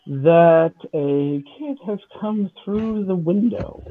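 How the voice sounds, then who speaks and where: A man narrating as game master of a tabletop game, hesitant, often pausing with fillers. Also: high voice, slight background noise. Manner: hesitant, laughing between lines. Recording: tinny phone-like call audio.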